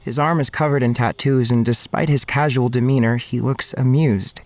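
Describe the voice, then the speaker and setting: A man's voice: mid-range voice, steady tone, moderate pace, darkish tone, dry recording, close to an everyday voice. An actor playing an awkward, anxious young college man narrating an audio drama in first person, with music behind him. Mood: anxious, watchful. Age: young adult. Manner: hesitant, stammering in dialogue. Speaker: narrator and main character.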